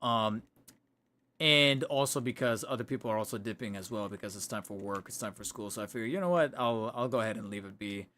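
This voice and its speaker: A man's voice: fairly low pitch, fairly quick, sharp tone, slight background noise. Chatty man streaming from home. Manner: rambling, self-correcting, chatty. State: voice getting tired. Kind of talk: casual livestream chat. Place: home room. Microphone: desk microphone.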